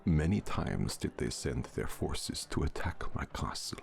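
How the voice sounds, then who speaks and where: A man playing an ancient, courtly vampire count, formal, suave and often breathy, in an intimate ASMR roleplay. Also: rumbling low, very animated, measured pace, full character voice. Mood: reminiscing, grave. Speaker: voice actor. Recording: music behind the voice.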